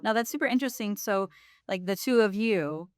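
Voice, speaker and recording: Smooth-voiced woman host. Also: mid-range voice, fairly quick, no echo, clean studio sound. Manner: affirming, leads the talk. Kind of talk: casual faith conversation.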